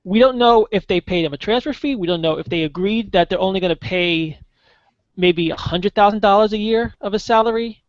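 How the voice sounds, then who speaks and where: A man talking as a guest soccer contributor in a casual podcast chat, halting with many fillers and restarts. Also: high-pitched, no echo. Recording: dull lo-fi podcast audio, dry.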